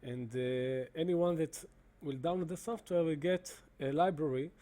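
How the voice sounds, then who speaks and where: Guy walking through his software, soft dark voice, lots of uh's and restarts. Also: a little high. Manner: halting, many fillers and restarts. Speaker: presenter demonstrating software. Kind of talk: screen-recorded explanation.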